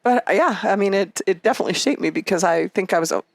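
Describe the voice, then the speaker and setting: A woman's voice: mid-range voice, quick pace, no room sound. A woman in her fifties from Canada, telling her life story as an interview guest in a casual, chatty and reflective way. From Canada and Egypt. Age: 50s.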